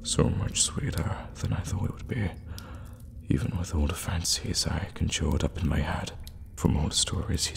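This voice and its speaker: A man playing a seductive, menacing evil warlock in an ASMR roleplay, teasing in a breathy murmur with halting pauses. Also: heavily acted. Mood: hushed, seductive. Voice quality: breathy low murmur, often whispering. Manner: slow, teasing, halting for effect. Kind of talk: intimate ASMR roleplay acting. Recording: long reverberant cave-like echo.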